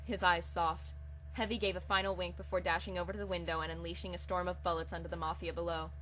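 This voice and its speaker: A woman's voice: on the low side, a bit bright, poor audio. A woman hosting a reading of comic fan fiction, moving between casual talk and dramatic storytelling. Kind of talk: casual intro, then dramatic comic storytelling read aloud. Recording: audible background hum and hiss.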